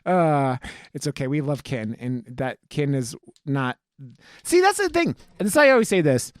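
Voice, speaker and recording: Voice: medium pitch, big pitch swings, normal speed, dry recording, clean studio sound. A podcast host chatting casually, with teasing, profane joking. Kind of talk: casual banter on a film review podcast.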